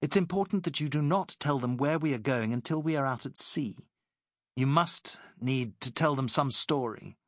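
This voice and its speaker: A man voicing a poised British woman working as a secret agent, speaking crisply and persuasively. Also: medium pitch, normal speed, a bit bright, no room sound, clean studio sound, pitched above the performer's own voice.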